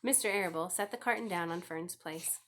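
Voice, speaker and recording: A woman's voice: fairly low pitch, fairly quick, a bit bright. A woman narrating a children's book aloud as a scripted story reading. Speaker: audiobook reader.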